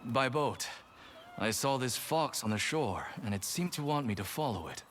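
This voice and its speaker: A man playing a calm, reverent storyteller in a video game, narrating old samurai legends in a measured, grave way. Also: mid-range voice, slight background noise, lightly acted. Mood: quiet, intrigued. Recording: loud music behind the voice. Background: loud music.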